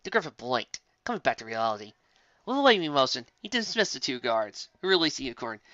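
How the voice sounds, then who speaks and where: A woman's voice: extremely low pitch, big pitch swings, fast, a bit bright, no room sound. A woman narrating a fantasy story in a dramatic reading style, on a slightly dull recording. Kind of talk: dramatic reading of fan fiction.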